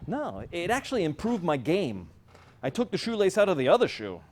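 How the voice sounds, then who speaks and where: An actor playing an affable, teasing husband who gripes about work in a staged comic play. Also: male voice, high-pitched, huge pitch swings, a little fast, heavily acted. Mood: wry. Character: affable, teasing working husband griping about his boss.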